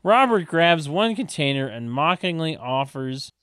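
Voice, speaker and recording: Deadpan man reading stage directions, close dry sound. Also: on the high side, a little slow, smooth, dry recording. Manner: deadpan, mocking asides. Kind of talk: sardonic table read with mock commentary.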